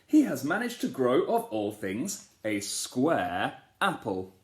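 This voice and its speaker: Man playing a booming storybook king, proclaiming, lively rises and falls, echoey room. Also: takes it slowly. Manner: loud, proclamatory, ceremonious. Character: grand, booming male king addressing a crowd.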